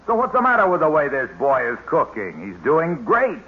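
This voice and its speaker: A cheery announcer plugging a product, lively delivery, 1950s radio comedy. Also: male voice, moderate pace. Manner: genial pitchman, ribbing jokes. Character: jovial sponsor-plugging announcer friend. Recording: hissy lo-fi old radio broadcast.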